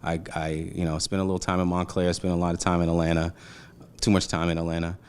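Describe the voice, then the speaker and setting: Deep-voiced Brooklyn man in his forties. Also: quick pace, smooth. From Brooklyn, New York. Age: 40s. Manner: relaxed, slangy, many fillers. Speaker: guest. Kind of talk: casual interview chat.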